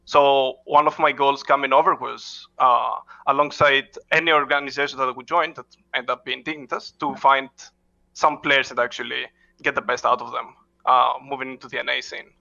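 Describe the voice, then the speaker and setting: Gravelly, bright-voiced man, halting speech. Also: medium pitch, level tone, lo-fi sound. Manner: halting, frequent "uh" fillers and restarts, non-native phrasing. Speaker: head coach, interview guest. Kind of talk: casual interview answers.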